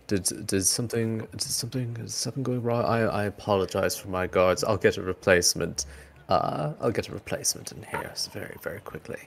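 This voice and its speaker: A man's voice: lowish voice, steady tone, brisk, vocal fry, a little hiss or hum. A man voicing a flustered auction host in a tabletop game, stammering and apologetic.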